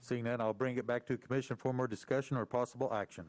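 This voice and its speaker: An American Midwestern man chairing a county commission meeting, brisk and procedural. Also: mid-range voice, steady tone, darkish tone.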